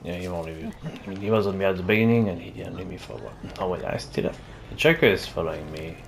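Very deep, gravelly man gaming. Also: expressive, quick pace, slight background noise. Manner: muttering, swears at the game. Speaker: gaming youtuber. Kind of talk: casual gameplay commentary.

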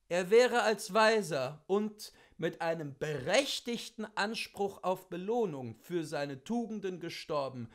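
A man reading classic literature aloud in an expressive, theatrical and declamatory way. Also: sits high. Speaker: reader of literature.

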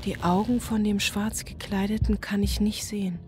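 A woman's voice: mid-range voice, flat delivery, moderate pace, lightly acted. An actress playing a weary, brooding single mother in a radio drama monologue, loving yet overwhelmed. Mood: whispered alarm. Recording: music behind the voice.

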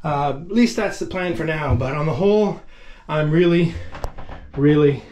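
A man's voice: on the high side, normal speed, darkish tone, a little hiss or hum. A middle-aged man narrating his wooden boat restoration casually while he works, enthusiastic and chatty, with fillers and laughs. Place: wooden boat cabin interior.

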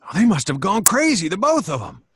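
Nervous, husky rustic townsman. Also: sits high, wildly swooping pitch, a little fast, dry recording, full character voice. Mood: uneasy. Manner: stammering, fearful. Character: nervous, superstitious rustic townsman, reluctant sidekick. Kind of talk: old-time radio horror acting.

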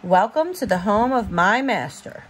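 A woman voicing a clever male cat from a storybook, courtly, persuasive and full of flattery. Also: mid-range voice, a little slow, slightly rough, rough recording. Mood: courtly, cunning.

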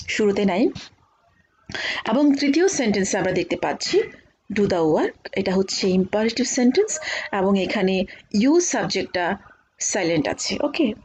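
A woman with a Bangladeshi accent lectures in the explanatory way of a college teacher. Her voice sits at a middling pitch for a woman, and she often pauses on hesitation sounds. Some noise runs behind her in the recording. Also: a little mellow, rough recording. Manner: halting, with frequent filled pauses. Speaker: college English grammar teacher. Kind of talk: explanatory online lecture with examples.

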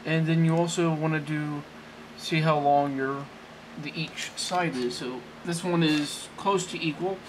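A man giving a casual, unscripted how-to demonstration in a halting, rambling way, often correcting himself, talking from behind a handheld camera. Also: a little high, steady tone, a little hiss or hum, low-quality recording. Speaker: amateur tech tutorial presenter. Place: small indoor room at a desk. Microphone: handheld camera, off-screen close behind it.

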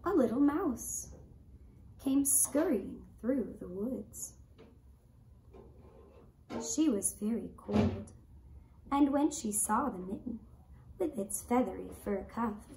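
A woman in her thirties narrating a children's story for a puppet show, with gentle, deliberate phrasing, recorded from a camera in a room. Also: a little high, lively intonation. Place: indoor puppet stage in a decorated room. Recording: roomy echo, clear background noise.

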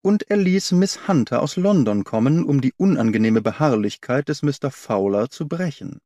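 Shrewd gentleman detective, acted by a male narrator, storybook role, courteous, precise reasoning, dry close sound. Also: mid-range voice, expressive, moderate pace, dry recording, close to an everyday voice. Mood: deductive, assured. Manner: formal, analytical, courteous.

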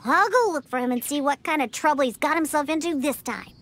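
Very high-pitched sassy little cat girl. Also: animated, a little fast, a bit bright, slight background noise. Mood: exasperated, determined. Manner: huffy, haughty, emphatic delivery. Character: sassy, grumpy cat-eared little girl bartender who scorns drunks. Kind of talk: scripted video game dialogue. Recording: music behind. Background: background game music.

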